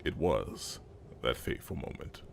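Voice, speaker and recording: A man's voice: very deep voice, expressive, unhurried, creaky, slight background noise. A man narrating a dramatic scripted story.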